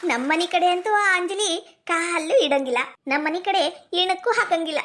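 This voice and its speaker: Spiteful older mother-in-law played by a woman, very high-pitched, melodramatic, North Karnataka accent, for family drama. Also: moderate pace, a bit bright, a little hiss or hum. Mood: gloating, cursing. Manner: taunting, melodramatic. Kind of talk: amateur family drama skit.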